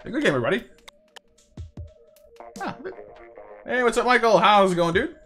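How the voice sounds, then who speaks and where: A young man with a slightly raspy voice from a recent cold, hosting a casual live game stream with viewers and often stammering over repeated words. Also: sits high, expressive, moderate pace, fairly bright tone, faint music behind. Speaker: livestream gaming host.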